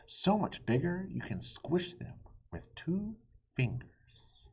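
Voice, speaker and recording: Male children's book reader: very raspy-breathy, slow and deliberate, theatrical with hushed dips. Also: sits high, big pitch swings, lo-fi sound. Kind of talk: animated read-aloud storytelling for children.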